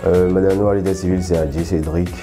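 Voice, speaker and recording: Extremely deep-voiced Beninese singer. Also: male voice, quick pace, soft background music. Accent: Beninese French. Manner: relaxed, laughs easily, mixes in English. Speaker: singer, interview guest. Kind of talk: casual interview.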